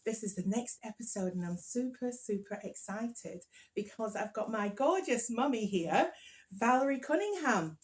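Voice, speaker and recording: A woman's voice: a little high, animated, moderate pace. A woman from England hosting a podcast, bubbly and encouraging, prompting her guest with tag questions. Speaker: podcast host. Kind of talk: casual family interview.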